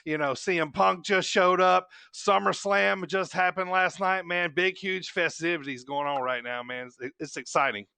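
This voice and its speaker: Guy hosting a podcast, kinda high voice, super dry close mic, says man and you know a lot. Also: moderate pace, gravelly, hardly any pauses, sharp tone, clean tone, no echo. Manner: rambling, heavy on fillers like "man" and "you know". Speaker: podcast host. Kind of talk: casual wrestling podcast chat.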